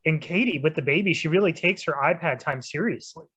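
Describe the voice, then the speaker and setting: A woman's voice: low-pitched, a little fast, a bit bright, slightly rough. Woman playing a flustered henchperson, muffled audio. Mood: defensive. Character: flustered, literal-minded henchperson of a villain. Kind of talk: staged play reading.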